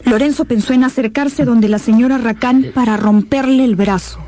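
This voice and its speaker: A woman's voice: on the high side, fairly even intonation, normal speed, a crowd in the background. A woman narrating a radio drama as scripted, dramatic storytelling.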